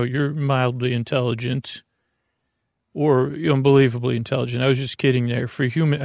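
A man's voice: mid-range voice, vocal fry, no echo, clean studio sound. A man hosting a bedtime podcast in a whimsical, meandering monologue, often hesitating and breaking off mid-thought. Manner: meandering, hesitant, self-interrupting; whimsical, silly. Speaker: podcast host.

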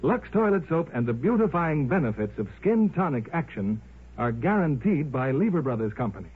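A man working as a 1950s radio announcer, delivering scripted advertising with a polished, emphatic sales pitch. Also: very animated, normal speed, husky. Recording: muffled 1950s radio recording.

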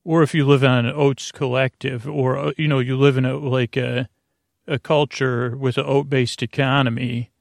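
Creaky-voiced man, rambling and halting. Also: mid-range voice, little pitch movement, no room sound, clean studio sound. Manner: meandering, halting, trailing off mid-thought. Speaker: podcast host. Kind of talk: rambling improvised bedtime monologue.

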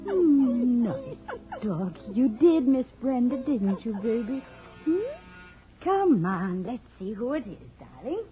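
An actress playing a brassy, scheming widow in 1940s radio crime style, sliding between sugary cooing and sneering. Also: female voice, on the high side, big pitch swings, slow, soft background music. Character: brassy, scheming gold-digger widow, cooing over her lapdog. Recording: muffled old-time radio recording.

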